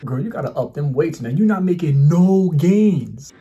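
A man's voice: fairly high pitch, expressive, moderate pace, mellow, muffled recording. A young man in his twenties giving brash, boastful, mocking commentary in casual talk, filmed in a small indoor room. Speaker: commentary YouTuber.

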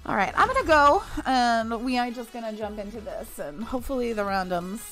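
A woman's voice: on the high side, animated, fairly quick, a bit bright, soft background music, poor audio. A young woman streaming a mobile shooter, chatting casually with viewers and laughing often. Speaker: game streamer. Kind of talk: casual live-stream chat while playing.

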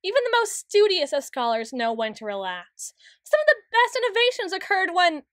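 A woman playing a flustered, prim, studious young tsundere in an ASMR roleplay, speaking in halting, stammering, formal sentences. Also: very high-pitched, huge pitch swings, a bit bright, no room sound, clean studio sound, exaggerated voice. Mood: hesitant, tender. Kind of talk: ASMR roleplay, acted monologue.

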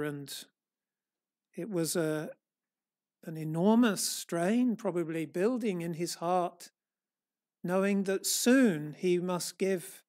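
A middle-aged man, a church elder, preaching a sermon from a lectern in a small church hall, earnest and measured, rising and falling in force. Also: sits high, a little mellow. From England. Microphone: far camera, standing at a lectern.

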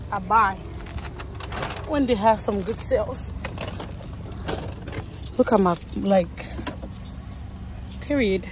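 A young woman vlogging casually into a handheld phone camera, chatty and animated, filmed in a car at night and later at home. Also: fairly high pitch. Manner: chatty and animated, lots of "like" and "you guys". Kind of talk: casual vlog chat. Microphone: phone camera held close. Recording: noisy phone recording with car noise.

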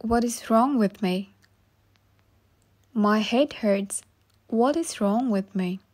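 A woman with a fairly high voice reads practice sentences for English learners. She speaks very slowly and carefully and says every phrase twice so listeners can repeat it. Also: darkish tone. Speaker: English teacher.

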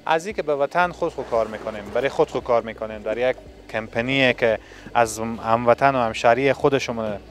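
A man, working as a television reporter and interviewing on location with a handheld microphone in a large factory hall. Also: mid-range voice.

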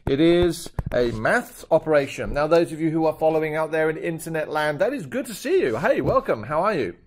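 A man's voice: a little high, expressive, a little fast. A man in his fifties with a British accent that is drifting toward Australian, teaching a maths lesson over a screen recording with jokey, chatty asides. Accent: British, shifting toward Australian. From Britain.

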